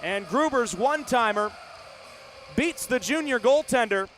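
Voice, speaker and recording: A man's voice: very high-pitched, expressive, measured pace, a bit bright, slight background noise, rough recording. A man in his twenties doing live radio play-by-play of a hockey game in clipped, vivid running narration. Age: 20s. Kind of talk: live radio sports commentary.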